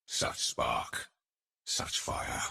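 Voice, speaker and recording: An actor playing a sadistic alien raider lord in a video game, with a breathy, hissing voice and a savouring, contemptuous drawl. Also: male voice, very slow, pushed and bright, full character voice. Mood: mocking relish. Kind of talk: video game cutscene acting. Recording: music behind the voice.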